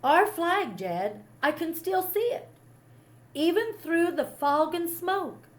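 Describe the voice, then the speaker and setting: A woman voicing an earnest, patriotic gentleman poet from a storybook, courteous and declaiming. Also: extremely high pitch, lively intonation, slow pace, voice pushed up, heavily acted. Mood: excited.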